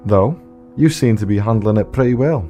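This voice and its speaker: Mellow low guy man acting, easygoing reflective survivor in a radio play, faint music. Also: moderate pace, close to an everyday voice. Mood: thoughtful. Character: plain-spoken married male survivor, thoughtful, gently probing. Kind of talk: low-key audio drama acting.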